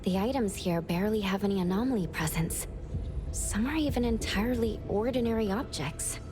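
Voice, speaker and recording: A woman playing a calm, analytical young investigator in an anime game, measured and matter-of-fact as she reasons aloud. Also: medium pitch, music in the background, natural voice. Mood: thoughtful.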